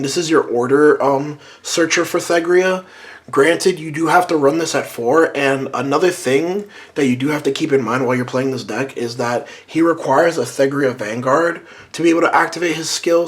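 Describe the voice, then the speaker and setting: A hoarse man casually reviewing a card game deck to camera, rambling with lots of "um" and "like". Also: mid-range voice. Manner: rambling, many "um" and "like" fillers.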